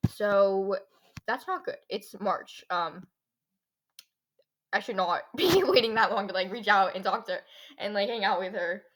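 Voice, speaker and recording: A teenage girl hosting her own podcast, chatting in a rambling way with lots of fillers while her voice is going hoarse. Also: mid-range voice, animated, measured pace, pauses often, a bit bright. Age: teens. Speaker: podcast host. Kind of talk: casual solo podcast chat.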